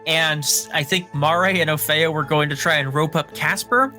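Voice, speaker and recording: A man's voice: fairly high pitch, steady tone, moderate pace, sharp tone, soft background music. A man acting as game master, narrating a dramatic recap and explaining rules casually.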